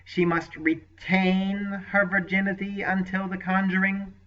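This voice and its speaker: A man voicing a scheming male sorcerer with a deeply nasal, unctuous, smug manner in an audiobook. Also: monotone, a little slow, pushed and bright, far from the mic. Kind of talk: audiobook character voice. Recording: lo-fi dull recording.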